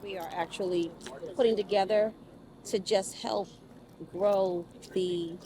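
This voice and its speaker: A woman's voice: medium pitch, steady tone, slow pace. A woman in her fifties speaking emphatically in a conversational interview as a council member, repeating phrases for stress. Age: 50s. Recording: street noise with people and vehicles. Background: crowd and vehicle noise.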